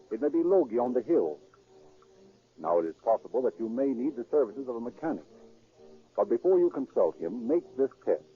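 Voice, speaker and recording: A man announcing and narrating in formal, emphatic 1930s radio style, with a deliberate scripted delivery. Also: mid-range voice. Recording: tinny, narrow-band 1930s radio recording.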